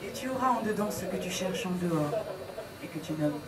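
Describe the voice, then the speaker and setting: A man's voice: sits high, expressive, brisk, over a noisy crowd. A man reciting slam poetry at a live session. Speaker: slam poet. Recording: echoey, distant, audience noise behind.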